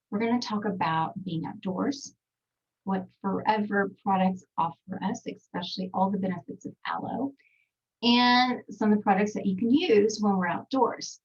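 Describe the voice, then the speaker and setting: A woman's voice: medium pitch, slightly rough, distant mic, muffled recording. Chatty Texan woman on a webinar. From Texas, United States. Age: 40s. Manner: chatty, with many fillers and asides. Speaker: skincare trainer presenting a webinar. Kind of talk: casual online presentation. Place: small home voiceover studio.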